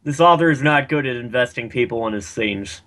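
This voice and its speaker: A man's voice: mid-range voice, expressive, brisk, fairly bright tone. A man reading fan fiction aloud with profane, sarcastic, mocking commentary.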